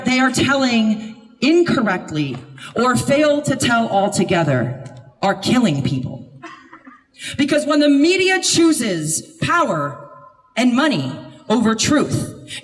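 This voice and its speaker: A woman speaks as an activist at a protest, delivering a prepared address. Her delivery is solemn and impassioned, declaimed with weighty, emphatic pauses. The voice sounds far from the microphone and carries a long reverberation. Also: high voice. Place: public protest outside media offices.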